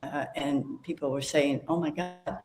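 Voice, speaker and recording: A woman's voice: sits low, steady tone, a little slow, a little mellow, slight background noise. A woman in her eighties, a panel guest talking reflectively about her life, with many fillers and restarts. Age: 80s. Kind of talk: casual panel conversation.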